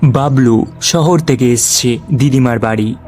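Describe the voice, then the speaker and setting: A man narrating a scripted ghost story for an animated horror tale. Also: medium pitch, a little slow, soft background music.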